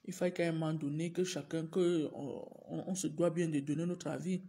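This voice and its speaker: A woman's voice: low-pitched, steady tone, brisk, warm tone. A woman teacher with a cold, speaking casually in a live stream and urging her viewers again and again. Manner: repetitive, urging viewers over and over. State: has a cold, clears throat and coughs. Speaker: teacher livestreaming to followers.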